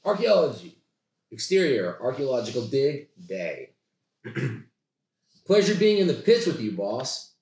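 A man voicing an excitable, earnest young assistant on an archaeological dig in a comedy sketch table read. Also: mid-range voice, measured pace, pauses often. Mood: eager. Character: eager, chatty young assistant on his first archaeological dig. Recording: roomy, echoing podcast recording.

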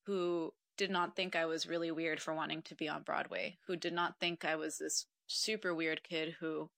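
A woman's voice: low-pitched, gravelly, sharp tone, no room sound, studio quality. A woman in her twenties from Mexico, a podcast guest talking earnestly and reflectively, with frequent ums. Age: 20s. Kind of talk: casual interview conversation.

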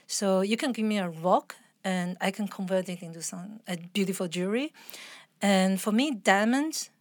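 A woman's voice: medium pitch, moderate pace, no echo. Chatty woman, dry sound. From Taiwan. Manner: chatty, laughs often, restarts sentences. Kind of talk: casual interview conversation.

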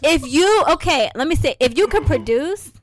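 A woman's voice: high-pitched, expressive, normal speed, dry recording. A woman who is a dental hygienist and practice owner, co-hosting a casual podcast chat and animatedly acting out the people she quotes.

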